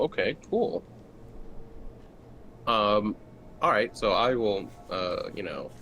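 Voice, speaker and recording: A man's voice: fairly low pitch, bright, forceful tone. A man playing a tabletop roleplaying game, talking casually and improvising as his character. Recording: clearly noisy recording with room ambience.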